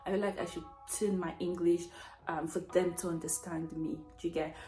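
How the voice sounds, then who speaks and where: Woman, Nigerian accent, young adult, casual storytelling, mellow low voice, roomy echo. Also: level tone, moderate pace. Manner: chatty, self-mocking, laughs often. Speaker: vlogger telling a story. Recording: echoey room, faint music behind.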